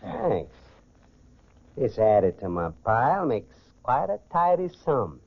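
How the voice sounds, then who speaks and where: A man's voice: medium pitch, sing-song, slow, creaky, breathy edge, full character voice. Ruthless, boastful holdup man; commanding, scheming, 1940s radio crime acting, dull vintage recording. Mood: cold threatening. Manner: barking, commanding, threatening. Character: ruthless, boastful gentleman stickup robber, scheming and menacing.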